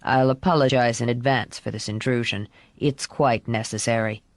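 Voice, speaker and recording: A woman narrator puts on a grave, protective father for an audiobook. The man speaks low, with formal wording and measured restraint. The recording is dry. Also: fairly even intonation, dry recording. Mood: stern, disappointed. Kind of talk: audiobook character voice.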